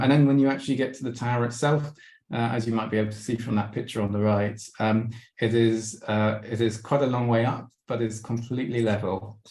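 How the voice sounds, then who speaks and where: Hesitant low man with flat delivery. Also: roomy sound. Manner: hesitant, many ums and restarts. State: losing his voice, clearing throat. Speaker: webinar presenter. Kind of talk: slideshow travel talk. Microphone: video call.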